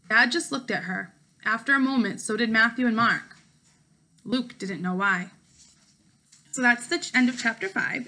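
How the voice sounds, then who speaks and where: A woman's voice: fairly high pitch, pushed and bright. A woman, a teacher reading a children's book aloud and talking to her class. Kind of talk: read-aloud storytelling with casual class talk. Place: home kitchen, indoors.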